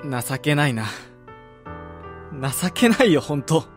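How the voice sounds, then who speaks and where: A man's voice: a little high, sing-song, takes it slowly, faint music behind. An actor playing a proud, wounded young footballer son in a radio drama, frank and emotional in his delivery. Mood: bitter, reproachful. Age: young adult.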